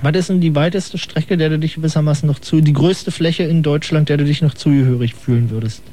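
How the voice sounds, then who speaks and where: A man's voice: fairly high pitch, quick pace, warm tone. A man hosting a radio call-in show, asking wordy, ironic questions in casual chat.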